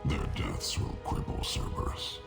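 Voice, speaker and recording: An actor playing a huge, cold, arrogant alien crime lord in a video game, with a gravelly growl and formal, menacing delivery. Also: male voice, lightly acted. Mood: arrogant menace. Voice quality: deep monstrous gravelly growl. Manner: formal, pompous, measured menace. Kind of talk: video game cutscene acting. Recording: music behind the voice.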